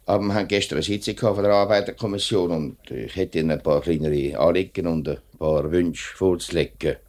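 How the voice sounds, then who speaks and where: Male actor as dutiful union man, late thirties, very low pitch, Swiss German accent, measured, polite, old radio play. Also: darkish tone, close to an everyday voice. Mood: polite, firm. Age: late 30s. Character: straightforward, dutiful factory worker and union man, nearly forty. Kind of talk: 1950s Swiss radio drama acting.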